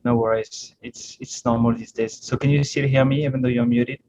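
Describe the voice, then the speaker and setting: A man's voice: medium pitch, steady tone, brisk. French-accented man on a noisy, muffled call. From northern France. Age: middle-aged. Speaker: guest speaker, startup CEO. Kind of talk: informal guest talk to a class. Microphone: video call microphone.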